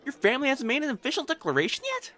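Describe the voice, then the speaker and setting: A man's voice: very high-pitched, very animated, very fast, sharp tone, heavily acted. A man playing a proud, possessive noble fiancé in a romantic audio roleplay, haughty and formal in speech and easily flustered. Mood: exasperated, jealous.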